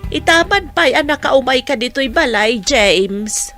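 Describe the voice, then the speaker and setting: A woman acting a nosy, blunt village mother in an Ilocano radio comedy drama, with a probing, animated manner and an Ilocano accent. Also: high voice, unhurried, faint music behind. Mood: curious, explaining.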